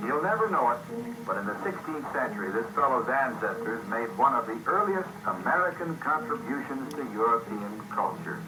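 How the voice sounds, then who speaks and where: A man giving measured, wry scripted narration as host of an educational documentary, with dry humour. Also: medium pitch, big pitch swings, background noise. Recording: roomy old TV soundtrack, music behind. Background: music.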